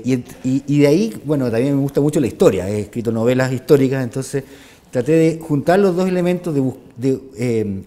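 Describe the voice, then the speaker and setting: A man's voice: medium pitch, warm tone. A man, an author and journalist, interviewed as a guest. He hesitates at the start of his thoughts and often adds tag questions. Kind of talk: interview conversation.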